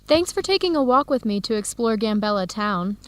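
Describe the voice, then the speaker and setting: An actress with an American accent playing an enthusiastic, curious visiting woman scholar in an educational radio drama, with clear, simple phrasing. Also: fairly high pitch, sing-song, fairly quick, a little hiss or hum. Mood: upbeat, encouraging.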